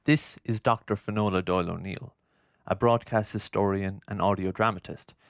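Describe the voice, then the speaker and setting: A man narrating a scripted documentary in a formal, measured, literary way, close on the microphone. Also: low voice, fairly even intonation, no echo. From Ireland. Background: music.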